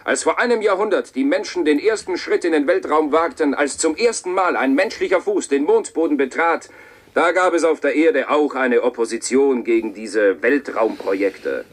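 An actor playing a pompous space-station leader giving a solemn, declamatory address to the world's citizens in a radio play. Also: male voice, fairly high pitch, moderate pace, close to an everyday voice. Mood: grandiose. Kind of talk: declamatory public address in 1970s radio drama.

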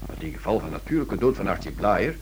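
An actor playing a level-headed, sceptical sleuth's companion in a radio drama, formal and reasoning. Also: male voice, deep voice, big pitch swings, quick pace, slight background noise.

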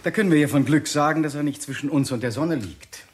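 An actor playing a sceptical British state secretary in a 1960s radio drama, questioning and dismissive. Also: male voice, on the high side, fast, close to an everyday voice. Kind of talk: 1960s radio play acting.

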